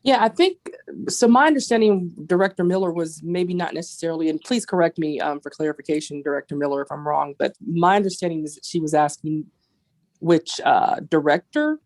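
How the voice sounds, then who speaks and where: A woman's voice: low voice, brisk, a little mellow. A woman advising a formal virtual meeting as attorney, explaining things carefully over a video call. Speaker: board attorney.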